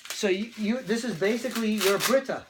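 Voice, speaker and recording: A man presenting antiques in a casual, excited show-and-tell, chatty and quick to giggle. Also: sits high. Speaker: museum presenter showing antiques. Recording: clear background noise, clicking.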